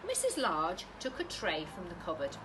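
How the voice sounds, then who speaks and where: A British woman, a primary teacher, reading a picture book to young children with expressive, carefully phrased delivery. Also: on the low side, normal speed, noisy background.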